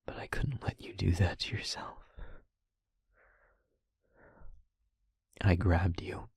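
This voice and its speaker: A man playing a wounded, sorrowful demon in an intimate audio roleplay, speaking slowly and solemnly in a husky, breathy near-whisper with halting short phrases. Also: sits low, darkish tone, no room sound, full character voice. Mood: anguished. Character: wounded, haunted male demon confessing with tender sorrow.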